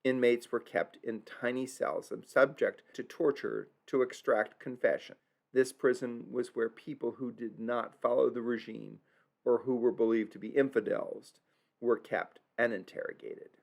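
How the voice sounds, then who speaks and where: A man's voice: mid-range voice, fairly even intonation, normal speed, creaky. A man narrating his travel video in an earnest, reflective way, stumbling now and then over German place names. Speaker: travel vlogger narrating a tour.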